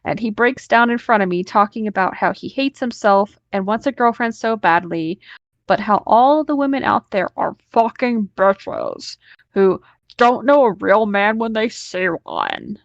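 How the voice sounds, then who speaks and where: A woman's voice: mid-range voice, very animated, measured pace, no room sound, muffled recording. A woman host reading an online story aloud, breaking off now and then into casual asides.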